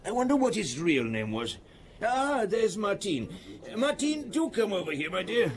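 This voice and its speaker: An actor playing a charming, courteous Corsican bar owner in a radio drama, speaking in a deliberate, storytelling way. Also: male voice, high-pitched, big pitch swings, a crowd in the background. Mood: concerned, helpful.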